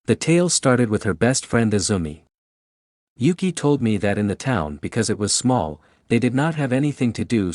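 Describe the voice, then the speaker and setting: A man from Mexico with a Mexican accent, Spanish his first language, slowly narrating a horror short story. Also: fairly low pitch, a little mellow, dry recording, clean studio sound. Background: faint music.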